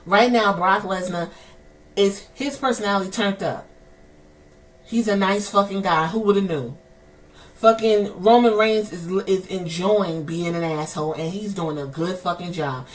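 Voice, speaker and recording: A woman's voice: medium pitch, a little slow, far from the mic. A woman with a New York accent, hosting a podcast and ranting in heated, profane commentary. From New York City. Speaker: podcast host.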